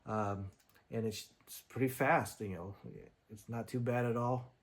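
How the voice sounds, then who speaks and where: A man's voice: mid-range voice, steady tone, measured pace. A man reviewing gear in a casual, conversational and slightly rambling way, explaining things as he goes. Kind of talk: casual product demo talk.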